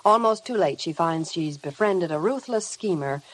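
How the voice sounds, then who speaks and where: A woman's voice: fairly low pitch, expressive, moderate pace, slight background noise. An American woman reporting on 1950 radio, reading scripted news and ads in a gushing, promotional manner.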